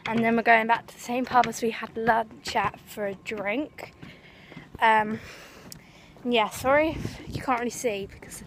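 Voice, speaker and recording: A teenage girl talks into a phone held at arm's length while out walking by a marina. Her voice sits a little high, and she rambles along with plenty of "um" and "like." The outdoor recording carries clear background noise. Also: fairly even intonation, unhurried. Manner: rambling, lots of "um" and "like". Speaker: vlogger. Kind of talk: casual vlog chat. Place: outdoors at a sunny marina and woodland path.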